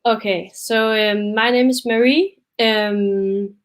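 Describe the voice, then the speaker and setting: A woman's voice: mid-range voice, steady tone, slow. A young Danish woman presenting her photo project on a video call, hesitant and a bit nervous, with many fillers. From Denmark. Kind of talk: webinar presentation.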